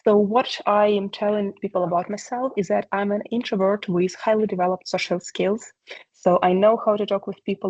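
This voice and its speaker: A woman's voice: fairly low pitch, darkish tone, no room sound, dull audio. A woman, giving a talk on a video call, earnest and hesitant with many fillers. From Ukraine. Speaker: presenter. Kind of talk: online meeting presentation.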